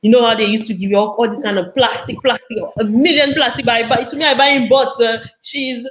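A young woman, chatting casually as a guest and telling personal anecdotes in an animated way, acting out bits of dialogue. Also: a little high, fairly quick. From Cameroon. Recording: dull, lo-fi audio.

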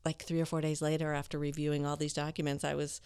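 A woman talking conversationally as an interview guest, explaining and telling stories in an articulate, clear, smooth voice. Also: low voice, completely flat delivery, quick pace, darkish tone, no echo, studio quality. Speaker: voice-over artist and interview guest.

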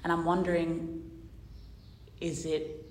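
A woman's voice: fairly low pitch, flat delivery, slow pace, echoey room, slight background noise. A woman hosting an interview podcast, mixing a scripted intro with casual chat full of "um" and "like". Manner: warm laughing interjections, lots of "um" and "like".

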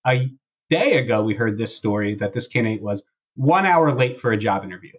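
A man with a husky voice hosting a casual podcast, chatting and reading posts aloud in a wry, sarcastic way. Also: lowish voice, expressive, brisk, highs cut off. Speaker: podcast host.

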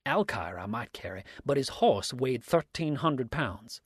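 A man acting out a loud, sneering, defiant male villain. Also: medium pitch, sing-song, unhurried, breathy edge, no echo, clean studio sound. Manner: mocking, theatrical, exclamatory. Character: defiant, sneering, sarcastic male murder suspect. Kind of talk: dramatic storybook reading.

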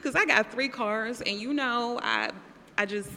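Woman as a high, bright, sassy waitress. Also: unhurried, in a large hall, slight background noise. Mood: pleading. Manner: sassy, pleading, slangy. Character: sassy, wheedling, man-chasing drive-in waitress.

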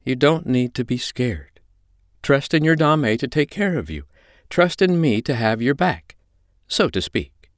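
A man's voice: medium pitch, sing-song, measured pace, no room sound, clean studio sound, voice pushed up. A man voicing a firm, commanding but reassuring mistress in an audiobook story. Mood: calm, reassuring. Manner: firm, instructing, soothing. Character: commanding, reassuring adult woman, a dominatrix mistress.

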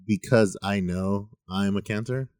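A man's voice: on the low side, unhurried, darkish tone, no room sound, studio quality. A man in his late twenties co-hosting a podcast in casual chat, laughing often and swearing freely as he rambles. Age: 20s. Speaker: podcast co-host.

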